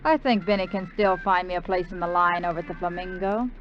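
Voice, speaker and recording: An actress playing a fed-up, sharp-tongued gambler's girlfriend in a 1950s radio drama, cool and sarcastic. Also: on the low side, fast, lightly acted. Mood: cold, scornful. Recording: dull vintage radio recording.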